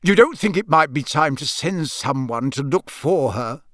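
A man's voice: high voice, very animated, a little slow, a bit bright, no room sound, studio quality, voice pushed up, full character voice. A man voicing a mild, earnest, middle-aged wizard father in an audiobook, tentative and a little put-upon. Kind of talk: audiobook character voice.

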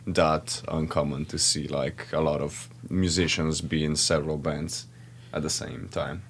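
A man from Slovenia, a band member talking in a relaxed, offhand way as a podcast guest. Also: very deep voice, unhurried, vocal fry, a little hiss or hum. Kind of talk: casual interview chat.

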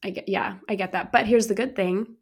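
Woman co-host, slightly raspy recovering voice, chatty, easy laughter, loose conversational delivery. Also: medium pitch, fairly even intonation, a little fast. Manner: chatty, laughing, uses lots of "like". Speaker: podcast co-host. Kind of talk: casual chat.

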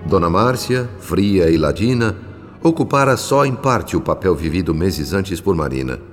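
Radio soap narrator: grave benevolent Brazilian male spirit, low-voiced, solemn, deliberate, long reverb. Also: lively intonation. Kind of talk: scripted dramatic narration in a radio soap opera. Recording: long reverb, music underneath.

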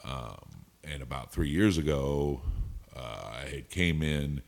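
A middle-aged man in casual chat as a podcast co-host, with wry, self-deprecating humor and halting speech. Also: very deep voice, expressive, vocal fry.

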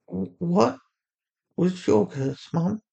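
A man voicing a shaken, high-pitched teenage girl. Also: expressive, drawn-out words, dark tone, dull audio, heavily acted. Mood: tentative. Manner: croaky, tentative, easily overwhelmed.

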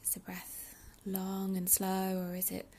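A woman leading a guided meditation in a soothing, unhurried, breathy soft delivery with pauses. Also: mid-range voice, monotone. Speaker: meditation guide, yoga teacher.

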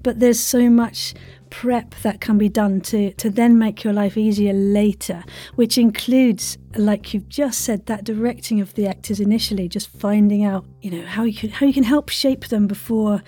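Mellow woman hosting a podcast. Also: medium pitch, steady tone, normal speed, slightly rough. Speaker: podcast host interviewing a guest. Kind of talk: casual interview chat.

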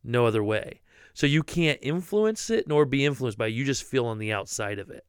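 A man from Ohio chatting on a ministry podcast, his voice smooth and resonant. He talks freely and stumbles over repeated words when a new point begins. The sound is close and dry. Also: mid-range voice, expressive, a little fast, dry recording. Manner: talkative, repeats words when starting thoughts. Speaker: podcast co-host, youth pastor. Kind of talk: casual chat.

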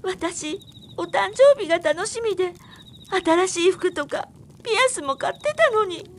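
An actress plays a girlish young woman in a radio drama, earnest and heartbroken. Her voice is extremely high and plaintive, over faint forest ambience. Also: sing-song, measured pace, slight background noise, exaggerated voice. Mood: sad, wistful. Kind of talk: audio drama acting.

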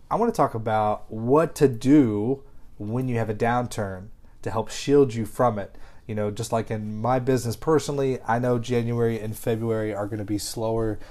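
A man hosting a solo marketing podcast while congested with a head cold, talking in an energetic, casual, pep-talk way. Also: medium pitch. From Kentucky. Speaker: podcast host.

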